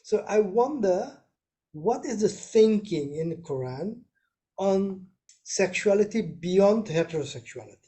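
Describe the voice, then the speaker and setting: A man's voice: sits high, lively intonation, unhurried, warm tone. A man hosting an interview, posing a long question hesitantly with many fillers. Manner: hesitant, searching for words. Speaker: podcast host, interviewer.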